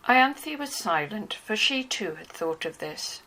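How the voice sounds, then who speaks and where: A woman's voice: mid-range voice, animated, measured pace, sharp tone, low-quality recording. A woman reading scripted literary narration as the narrator of a dramatized novel.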